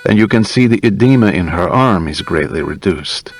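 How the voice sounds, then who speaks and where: A man voicing a courteous, careful hospital doctor in an audiobook, with measured, formal phrasing. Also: sits low, expressive. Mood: calm, reassuring.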